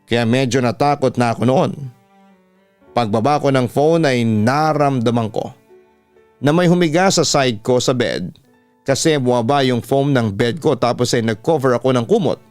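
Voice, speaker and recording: A man's voice: mid-range voice, no echo. A man with a Filipino accent reading a scripted horror story as a radio announcer would, over background music. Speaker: radio announcer and host reading listeners' stories. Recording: instrumental music bed behind a dry voice.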